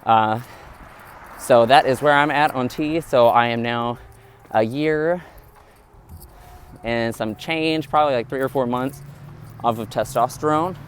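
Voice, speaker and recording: Male vlogger, mid-pitched, candid and earnest, talking straight to camera outdoors with light traffic noise. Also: unhurried, long pauses. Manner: earnest, open, addresses viewers directly. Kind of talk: candid vlog monologue to viewers.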